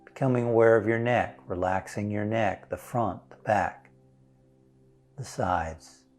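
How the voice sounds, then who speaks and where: A man leading a guided meditation as a minister, speaking in a gentle, soothing, unhurried way with long pauses. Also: fairly low pitch. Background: instrumental music.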